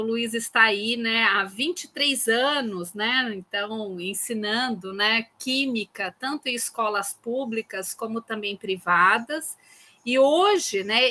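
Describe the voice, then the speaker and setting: Woman lecturer host, higher pitch, crisp bright voice, chatty "né" tags, video call. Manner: warm introducer, constant "né" tags. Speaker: university lecturer hosting a guest. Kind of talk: online class conversation.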